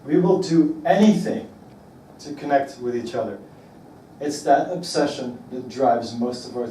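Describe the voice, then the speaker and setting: A man from Belgium giving a talk to an audience, asking rhetorical questions and slowing down on emphatic words. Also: medium pitch, dark tone, a little hiss or hum. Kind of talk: presentation to an audience. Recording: roomy echo from far off, faint ambience.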